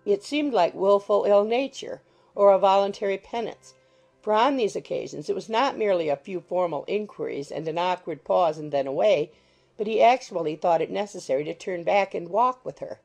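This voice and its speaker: A woman's voice: mid-range voice, normal speed, gravelly, a little mellow. A woman narrating a classic novel as a scripted audiobook, in a literary reading style. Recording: music behind the voice.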